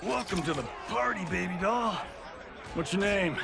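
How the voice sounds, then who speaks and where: A brash wisecracking soldier. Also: male voice, high voice, lively intonation, normal speed, gravelly. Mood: flirty. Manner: brash, flirty, mocking. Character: cocky wisecracking male soldier officer. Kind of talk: video game cutscene acting.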